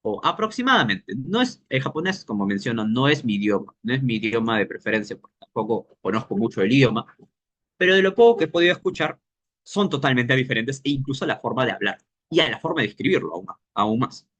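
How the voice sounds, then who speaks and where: Guy teaching a casual workshop, thinks out loud, pauses looking for words. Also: medium pitch, sing-song. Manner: explains thinking aloud, pausing to search for words. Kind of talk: informal workshop lesson.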